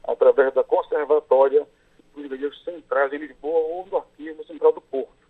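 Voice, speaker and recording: A Brazilian lawyer answers interview questions in a measured, step-by-step way, pausing on fillers as he goes. His voice sits a little high and sounds husky. It all comes through a thin, tinny phone line. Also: fairly even intonation.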